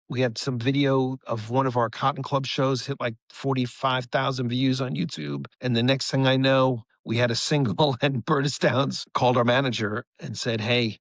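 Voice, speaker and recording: A man's voice: mid-range voice, no echo. An American man talking casually as an interview guest, earnest and rambling, often repeating a phrase as he tells his story. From United States. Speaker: rock musician, interview guest.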